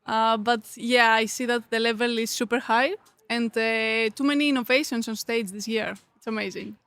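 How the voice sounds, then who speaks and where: Woman, Greek accent, native Greek speaker, fairly high voice, hesitant casual interview guest, very dry recording. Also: fairly even intonation, moderate pace, fairly bright tone, no room sound. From Greece. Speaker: interview guest, coffee competitor.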